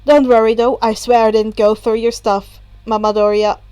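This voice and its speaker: Woman as an easygoing young guy, lowered voice. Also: flat delivery, normal speed, dark tone, clear, resonant tone, close to an everyday voice. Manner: casual, reassuring. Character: laid-back, friendly young man.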